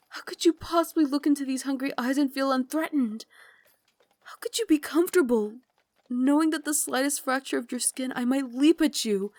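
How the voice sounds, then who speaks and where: A woman voicing a tender, guilt-ridden young Victorian vampire lady in an intimate ASMR roleplay, with formal, dramatic, confiding phrasing that slips into breathy whispers. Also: high voice, a little mellow, dry recording, studio quality, close to an everyday voice. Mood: alarmed, incredulous.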